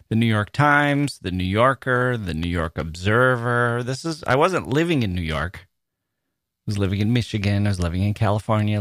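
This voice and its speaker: A man's voice: low voice, no room sound. A middle-aged American man hosting a podcast in a casual, chatty, wry monologue on a close microphone. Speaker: podcast host.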